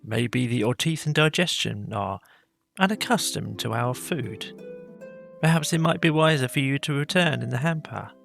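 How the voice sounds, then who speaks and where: A man's voice: medium pitch, animated, normal speed, vocal fry, fairly bright tone, soft background music. A man voicing a refined, huffy town mouse who talks in a formal, haughty, fussy way for a storybook. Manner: haughty, formal, easily put out.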